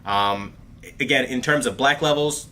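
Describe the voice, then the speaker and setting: A man hosting a casual livestream, talking in an animated, chatty way with plenty of fillers and calling his viewers bro and dude. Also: mid-range voice, measured pace, slight background noise. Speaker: host of a TV review livestream. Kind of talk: casual livestream chat reading viewer comments.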